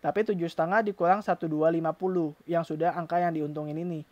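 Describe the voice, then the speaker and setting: An Indonesian woman with a slightly low voice teaches in an informal, step-by-step way. She speaks straight to her learners, posing questions and answering them herself. The recording is dry and somewhat muffled, with little room sound. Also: fairly even intonation, quick pace, darkish tone, no room sound. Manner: addresses learners directly, asks and answers own questions. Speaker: accounting tutor. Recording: dull, lo-fi close dry recording.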